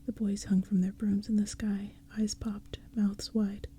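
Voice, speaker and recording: A woman narrating a storybook in a soft, hushed whisper. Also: medium pitch, level tone, unhurried, slight background noise.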